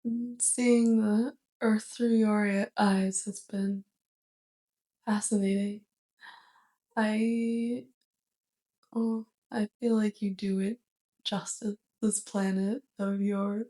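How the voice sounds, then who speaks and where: A woman playing a sweet, curious, awkward alien roommate with a crush, speaking gently and haltingly in a soft ASMR roleplay. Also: medium pitch, little pitch movement. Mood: fond, earnest. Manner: gentle, hesitant, halting delivery.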